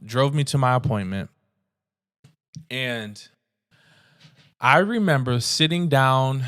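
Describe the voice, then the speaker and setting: A man's voice: medium pitch, clear, resonant tone, no room sound, studio quality. A young man hosting a podcast, telling a personal story in an earnest, conversational way with many restarts. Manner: earnest, conversational, animated retelling with frequent restarts. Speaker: podcast host.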